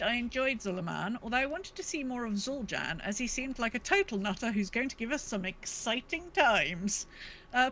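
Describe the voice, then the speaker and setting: A woman's voice: a little high, a bit bright, slight background noise, low-quality recording. A woman co-hosting a podcast, chatting informally about gaming. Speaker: podcast co-host. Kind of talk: casual gaming chat.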